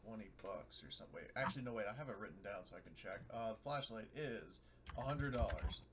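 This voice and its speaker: A man's voice: fairly low pitch, lively intonation, fast, very noisy. A man talking casually as a player in a tabletop roleplaying game.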